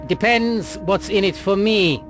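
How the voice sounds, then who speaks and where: An actor playing a sly, discreet shady dealer in a video game, speaking in an insinuating way. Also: male voice, sits high, over music. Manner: slow, insinuating. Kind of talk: video game cutscene acting.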